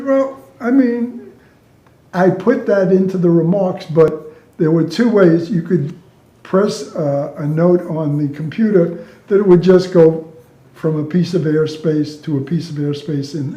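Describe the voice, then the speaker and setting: The recording is echoey, and the voice is an older man's, mellow and a little high. He tells work stories casually, like a retired controller, with amusement and quoted back-and-forth. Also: measured pace. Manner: amused, chuckles through own stories, reenacts dialogue. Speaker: retired air traffic controller telling stories. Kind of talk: casual anecdotal storytelling. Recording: roomy, echoey sound.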